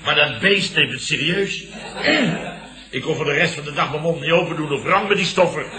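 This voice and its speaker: An actor playing a flustered, long-winded middle-aged boss who blusters and repeats himself in a radio comedy. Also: male voice, fairly high pitch, a little fast, crowd noise, natural voice. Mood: indignant. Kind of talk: 1970s Dutch radio comedy acting. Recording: roomy old radio recording, studio audience.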